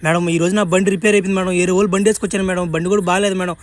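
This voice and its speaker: A woman's voice: on the low side, steady tone, racing, slight background noise, natural voice. A woman voicing a hapless young man in a comic cartoon, pleading and feeling sorry for himself. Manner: pleading, lamenting. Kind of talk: comic cartoon dubbing in Telugu.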